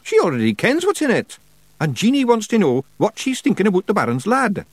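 A man's voice: high voice, huge pitch swings, a little fast, dry recording, pitched above the performer's own voice, full character voice. A man voicing a cocky tiny blue fairy clan chief with a broad Scottish accent and a blunt, scornful manner.

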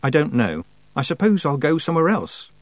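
A quiet young witch girl who keeps her answers short and flat, as a male reader voices her. The pitch sits above his own, and the sound is close and dry. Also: a little fast, no room sound. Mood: guarded. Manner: subdued, flat replies.

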